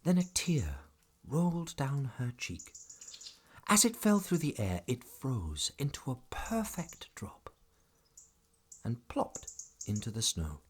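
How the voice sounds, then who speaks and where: A man's voice: mid-range voice, wildly swooping pitch, takes it slowly, breathy edge, heavily acted. A man narrating a family audio drama as a scripted storybook tale, dramatic and whimsical.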